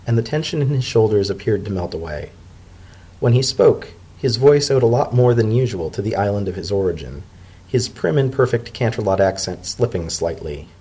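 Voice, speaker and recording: A man's voice: fairly low pitch, dark tone, slightly rough. A man narrating a scripted story as a dramatic reading.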